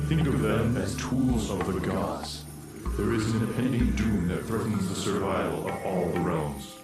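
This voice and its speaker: A man playing a grave, commanding disembodied spirit guide in a fantasy video game, speaking as a formal, solemn mentor with a ghostly echo. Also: low-pitched. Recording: echoing, distant voice under loud game music. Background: loud music.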